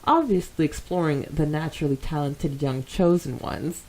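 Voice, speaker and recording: A woman's voice: low-pitched, normal speed, warm tone, rough recording. Giggly, slangy woman on a fan podcast. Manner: giggly, girlish slang with frequent "like". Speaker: podcast co-host. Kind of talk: casual fan chat, reading listener comments aloud.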